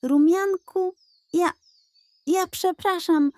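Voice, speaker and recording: A woman's voice: very high-pitched, very slow, mellow, dry recording, studio quality, pitched above the performer's own voice, heavily acted. A woman voicing a small, remorseful male puppy with an earnest, humble manner in a children's storybook. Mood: sobbing remorse.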